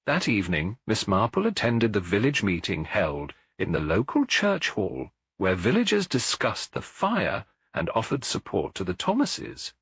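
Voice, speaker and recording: A man's voice: fairly low pitch, huge pitch swings, unhurried, few pauses, a bit bright, husky, no echo, clean studio sound. A man reading a scripted detective mystery as an audiobook narrator.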